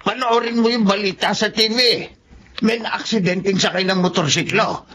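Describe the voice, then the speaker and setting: An actress playing an excitable household elder who calls out urgently in a radio drama. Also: female voice, mid-range voice, normal speed, muffled recording, a little hiss or hum, natural voice. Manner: urgent, calling out. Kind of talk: Tagalog radio drama acting.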